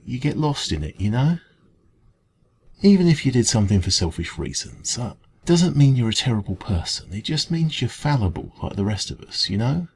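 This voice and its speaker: A man with an English accent playing a kindly, gentle café dragon in an ASMR comfort roleplay, speaking in a hesitant, informal, reassuring way. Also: on the low side, slightly rough, full character voice. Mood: earnest reassurance.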